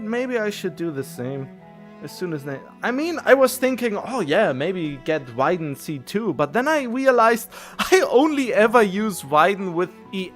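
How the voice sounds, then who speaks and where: A man with a voice a little higher than most chats casually as he streams a game. He thinks aloud, rambling and rewording himself as he goes. Faint game music plays behind him. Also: fairly bright tone. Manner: rambling, self-correcting, musing. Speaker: video game livestreamer.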